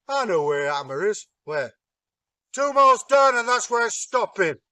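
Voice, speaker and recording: A man voicing a dim, blustering male frost giant, loud, gruff and boastful. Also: high-pitched, big pitch swings, normal speed, no echo, clean studio sound, distant mic, pitched above the performer's own voice, full character voice. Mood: demanding.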